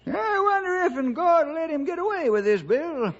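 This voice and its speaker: An actor playing a feisty, folksy old-timer sidekick in a radio drama, with a strained, excitable voice. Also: male voice, very high voice, moderate pace, a little hiss or hum, exaggerated voice. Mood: indignant. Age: older. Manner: excitable, folksy, rustic grammar. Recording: dull, band-limited old radio recording.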